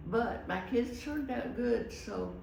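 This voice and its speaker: A woman in her eighties speaking slowly and reflectively, with halting pauses, about her life. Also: on the high side, expressive, gravelly, a little mellow, a little hiss or hum. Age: 80s. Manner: slow, halting, thoughtful. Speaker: interviewee. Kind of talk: reflective casual talk. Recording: echoey room, distant mic.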